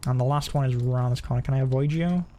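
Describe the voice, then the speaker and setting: A man's voice: medium pitch, very fast, mellow. A man streaming a video game with casual play-by-play, chatting and thinking aloud. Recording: clear game noise behind voice. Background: video game sounds.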